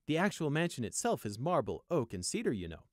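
A man's voice: mid-range voice, big pitch swings, a little fast, no room sound, clean studio sound, pitched above the performer's own voice, lightly acted. A man voicing a wealthy, boastful male unicorn noble from a story, with an airy, self-satisfied way of drawing out his words.

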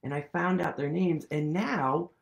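A man in his thirties reading a picture book aloud to children, animated and playful, leading them in actions, recorded on a webcam in a small room. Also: on the high side, measured pace, creaky. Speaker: storytime reader.

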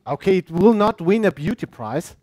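A young man presenting a cooking demonstration in a classroom, speaking halting English with restarts, picked up by a distant room mic. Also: high-pitched, big pitch swings, dark tone. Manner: halting non-native English, restarts words. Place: indoor classroom with audience.

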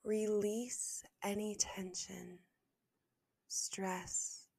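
A woman leading a guided meditation as host, speaking softly and gently in a breathy half-whisper with long, soothing pauses. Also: medium pitch, flat delivery, no echo, studio quality.

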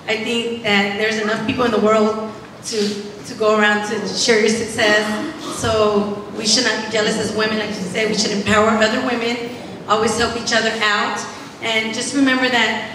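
A woman who owns a spa speaks earnestly on stage and answers questions, with a somewhat halting delivery. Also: on the high side, fairly bright tone, slight background noise. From Mexico. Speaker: spa owner and guest speaker. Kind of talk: casual speech and on-stage Q&A. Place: large event hall on stage. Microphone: handheld microphone, far camera. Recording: echoey hall from far off.

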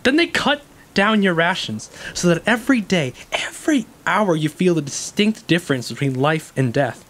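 An actor playing a bitter, wounded ex-convict in an audio stage play, intense and cunning, with dramatic, halting, emphatic delivery. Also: male voice, fairly high pitch, big pitch swings, a bit bright. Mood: anguished, bitter. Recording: rainy ambience and faint noise.

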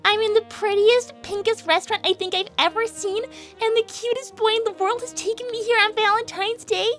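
Woman voicing fiery young female hedgehog, squeaky-high and bright, indignant streak. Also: steady tone, moderate pace, soft background music. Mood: gushing. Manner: gushing, dramatic, indignant. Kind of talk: fan-made cartoon voice acting.

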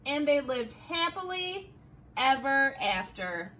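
Middle-aged teacher reading a picture book, high bright voice, slow and expressive, classroom. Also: female voice. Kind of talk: picture book read-aloud. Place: classroom, indoors. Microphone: camera a few feet away.

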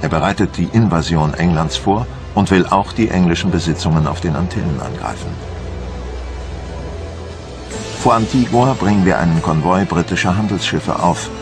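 A low, weighty male voice as a proud, watchful admiral from the Napoleonic wars. He recounts his campaign in measured, formal phrases, like a diary in a radio documentary. Music plays clearly behind him. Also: expressive. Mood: resolute. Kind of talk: first-person dramatised documentary narration.

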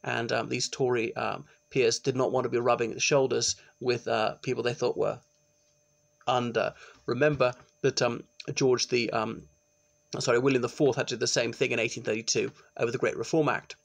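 A middle-aged man, giving an informal law lecture to his webcam in a small room and often pausing with ums. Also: mid-range voice, flat delivery, creaky. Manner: chatty, explanatory, with frequent ums and restarts. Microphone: laptop webcam, close framing.

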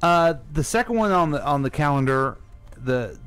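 A man's voice: a little high, expressive, normal speed, fairly bright tone, clear, resonant tone. A man co-hosting a radio show in casual, informal conversation. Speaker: radio co-host asking questions.

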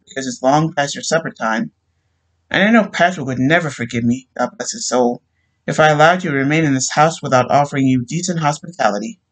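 A man voicing a grieving young wife who is sweetly persuasive, soft and overly polite. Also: on the high side.

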